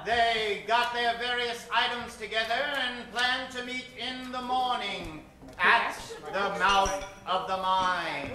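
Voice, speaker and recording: A man's voice: extremely high pitch, steady tone, takes it slowly, crowd noise. A man narrating and announcing in a theatrical, melodramatic old-time radio style. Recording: echoey stage, music behind.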